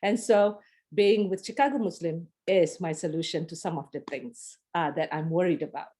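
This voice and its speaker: A middle-aged Malaysian woman speaks in a fairly low voice over a video call. She presents in a friendly, casual way, pausing often on fillers and restarting her sentences. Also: dark tone. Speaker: guest presenter.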